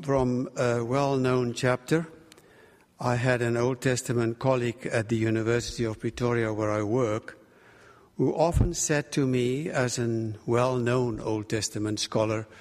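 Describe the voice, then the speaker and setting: A man with a South African Afrikaans accent, a native Afrikaans speaker, preaching as a guest in deliberate, pausing phrases. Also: medium pitch, a little mellow. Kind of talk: sermon with prayer and scripture reading. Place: large reverberant room. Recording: echoey hall with long reverb.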